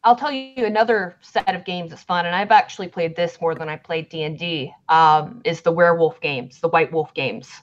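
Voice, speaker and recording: A woman with a Southern accent chats and rambles on a livestream, cursing freely as she goes. Her voice sits low for a woman. The audio is dull and lo-fi. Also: normal speed, hardly any pauses. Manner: rambling, chatty, swears freely. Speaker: band manager. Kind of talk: casual livestream chat. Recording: lo-fi stream audio.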